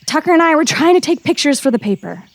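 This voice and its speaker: A woman's voice: high voice, big pitch swings, quick pace, no echo. A woman acting an earnest, nervy teenage girl reporter in an audio drama, stammering and pleading. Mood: nervous, reluctant.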